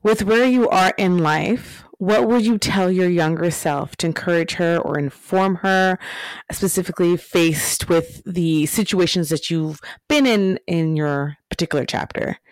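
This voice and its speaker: Podcast host, interviewer, mid pitch, affirming, measured, dry recording. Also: no room sound, poor audio. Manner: measured, affirming, asks reflective questions. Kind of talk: interviewing a guest.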